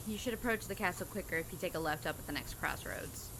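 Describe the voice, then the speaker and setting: A woman's voice: lowish voice, expressive, fairly quick, a bit bright, slight background noise, poor audio. A woman playing a wry female robber in a comedic audio drama, dry and somewhat hesitant, wearily helpful. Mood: weary, obliging.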